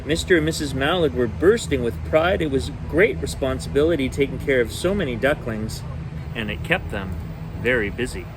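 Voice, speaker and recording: A man reads a children's bedtime story aloud. His voice sits at an average male pitch. Steady, clearly audible noise runs behind the reading. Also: normal speed.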